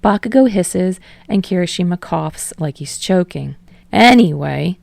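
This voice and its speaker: A woman's voice: fairly low pitch, normal speed, gravelly, dark tone, no room sound. A woman reading a fiction story aloud as narrator, in a scripted narration style. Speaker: audiobook narrator.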